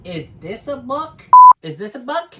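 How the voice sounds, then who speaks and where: A woman voicing a goofy, excitable dog on a children's show, silly, eager and confidently wrong, on a noisy recording. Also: sits high, a little slow. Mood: excited, playful.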